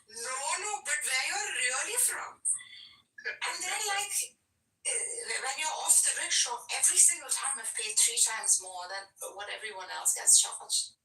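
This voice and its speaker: A woman's voice: fairly high pitch, animated, a little slow, bright, forceful tone. A woman talking bluntly as a podcast guest, swearing freely while telling stories from her travels. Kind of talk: casual chat. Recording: roomy, distant room sound.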